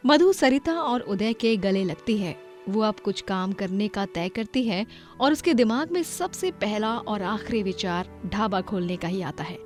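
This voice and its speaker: A woman narrating a cartoon moral story in an expressive storybook style. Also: medium pitch, a little slow. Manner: expressive, emotional. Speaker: narrator and heroine Madhu. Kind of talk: storybook narration and acted dialogue. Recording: background music under voice.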